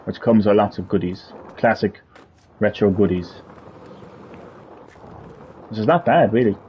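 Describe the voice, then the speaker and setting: A man hosting a casual gaming stream, rambling, laughing often and reading web text aloud haltingly. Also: fairly low pitch, highs cut off, a little hiss or hum. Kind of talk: casual gameplay chat.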